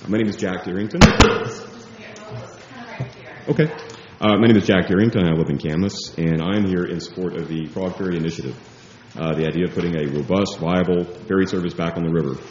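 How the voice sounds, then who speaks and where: Nervous guy doing public comment, loud deep voice, echoey muffled room. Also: noisy background. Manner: nervous, halting, self-deprecating. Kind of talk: casual public comment at a meeting.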